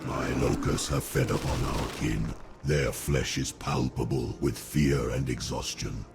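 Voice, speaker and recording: A sinister undead insect lord from a fantasy game, doting on his swarm. The voice is bottomless and full of gravelly creak, dragging slowly with quiet menace. Music plays behind it. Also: very deep voice, steady tone, full character voice. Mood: ominous. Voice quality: gravelly, croaking. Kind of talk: fantasy game cutscene acting.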